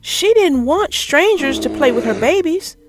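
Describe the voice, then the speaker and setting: A woman narrating a children's picture book as an expressive, theatrical read-aloud. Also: sits high, measured pace, over music.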